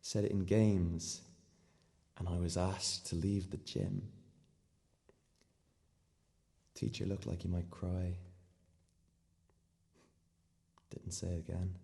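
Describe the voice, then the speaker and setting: An actor playing a naive, earnest seventeen-year-old schoolboy in a radio play monologue, telling his story in clipped, deadpan fragments. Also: deep voice, measured pace, vocal fry. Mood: earnest, defiant. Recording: long hall-like reverb.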